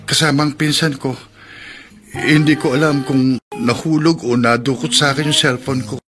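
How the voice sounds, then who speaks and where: A man acts as a devoted young boyfriend in a radio love story. He is earnest and apologetic, stumbling gently over his words at a middling pitch. Music plays behind him. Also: steady tone, moderate pace, close to an everyday voice. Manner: hesitant, stammering, earnest. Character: Carlo, apologetic devoted young boyfriend, soft-hearted and sincere. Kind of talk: Tagalog radio romance drama acting.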